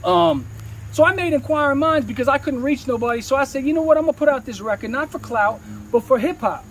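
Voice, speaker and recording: A man's voice: very high-pitched, quick pace, slight background noise, poor audio. A man talking candidly in an interview as a rapper, with slangy, streetwise speech peppered with swearing.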